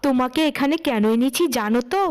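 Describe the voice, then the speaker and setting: A high-voiced young woman , played by a woman who is the scheming middle sister in a cartoon tale. She sounds resentful and conspiratorial as she grumbles. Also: animated, fast talker, a little mellow. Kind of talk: cartoon story acting.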